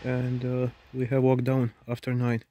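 Slow, flat man, noisy outdoor recording. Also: mid-range voice. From Bosnia and Herzegovina. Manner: plain halting delivery in simple non-native English. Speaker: outdoor camping vlogger. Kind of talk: casual vlog narration. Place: outdoors in woods at a night camp. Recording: clearly noisy outdoor camera sound.

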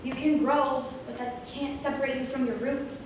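A woman's voice: high voice, fairly even intonation, moderate pace, close to an everyday voice. A young woman on stage playing a spirited, sassy young Mexican American heroine in a comic musical, projecting emphatically. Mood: earnest, firm. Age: young adult. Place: small indoor theatre stage, far camera. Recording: echoey stage from far off, audience noise.

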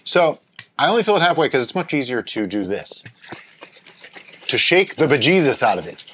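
Man, middle-aged, home brewing host, animated pitch, casual how-to chat. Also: moderate pace, slight background noise. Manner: animated, explains steps while handling gear. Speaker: homebrewing show host. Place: home studio with shelves of bottles.

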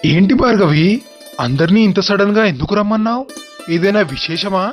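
Man acting a high-voiced, eager schemer. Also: expressive, a little fast, faint music behind. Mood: surprised. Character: eager, greedy men plotting shady business in a village tale. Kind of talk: acted dialogue in a Telugu moral story.

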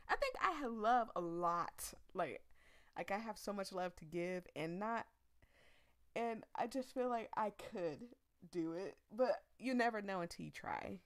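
A woman's voice: mid-range voice, expressive, a little slow. A woman giving casual, chatty and effusive gaming commentary as the host of a channel.

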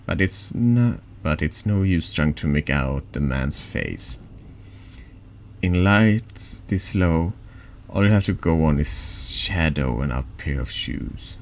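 Very deep, gravelly male narrator. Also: expressive, slight background noise. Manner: halting reading with stumbles and repeats. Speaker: streamer reading a text adventure game aloud. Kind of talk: soft ASMR-style narration.

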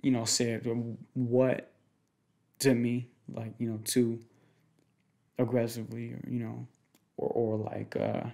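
A young man in a casual, improvised boyfriend roleplay, speaking informally in slangy, halting, rambling phrases. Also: mid-range voice, little pitch movement, creaky, warm tone. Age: young adult. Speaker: ASMR roleplay performer playing a protective boyfriend. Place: small indoor room at home.

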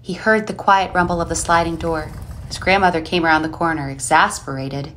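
A woman narrating a scripted horror story in a suspenseful, expressive manner with dramatic pauses. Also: low-pitched, a little hiss or hum. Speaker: narrator of an audio play.

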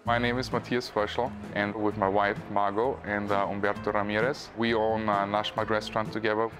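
Austrian-accented restaurant owner, calm. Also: male voice, fairly low pitch. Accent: Austrian German. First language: German. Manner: calm, measured, pauses with "uh". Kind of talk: interview to camera. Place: empty restaurant dining room. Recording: music bed underneath.

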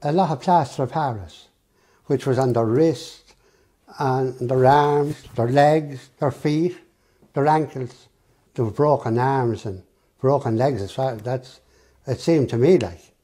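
An older man giving an interview in a small office, halting and repeating words as he speaks. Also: medium pitch, dark tone. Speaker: interviewee, former gravedigger. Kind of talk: interview testimony.

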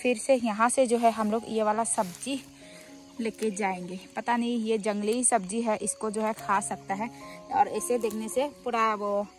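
A woman's voice: on the high side, steady tone, measured pace. A young woman chatting casually as she picks leaves in her village garden, pointing things out to the camera. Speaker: vlogger. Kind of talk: casual vlog chat. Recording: background music over outdoor sound.

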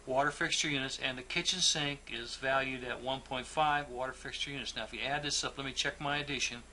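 A man teaching a technical lesson, patient and methodical as he talks through each figure. His voice is bright and forceful at an ordinary pitch. A faint hiss sits under his voice. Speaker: plumbing instructor. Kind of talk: instructional walkthrough.

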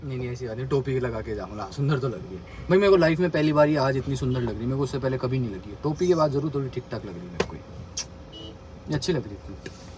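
A man's voice: mid-range voice, lively intonation, fast, very noisy. A young man from India vlogging and casually bantering with his girlfriend, teasing and joking, laughing often and dropping English words into his talk.

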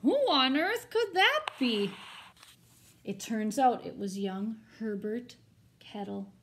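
A woman's voice: on the high side, lively intonation, takes it slowly, a bit bright, a little hiss or hum. A woman teacher reading a picture book aloud expressively, with informal, chatty asides for children.

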